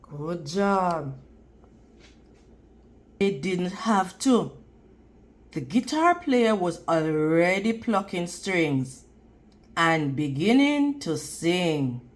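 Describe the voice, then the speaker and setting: A woman reading a picture book aloud to children in a deliberate, teacherly manner, pausing for questions. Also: lowish voice, expressive. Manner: deliberate, teacherly, pausing to quiz and praise listeners. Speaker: children's read-aloud reader. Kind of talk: read-aloud storybook narration with questions to young listeners.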